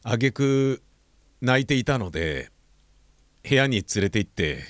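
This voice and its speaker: A middle-aged father, played by a male actor in a radio drama, courteous and frank with a hesitant touch. His intonation moves widely over a medium-pitched voice. The sound is dry, without room reflections. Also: big pitch swings, measured pace, no echo. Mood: apologetic. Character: apologetic, candid, protective father of a grown daughter. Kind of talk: Japanese audio drama acting.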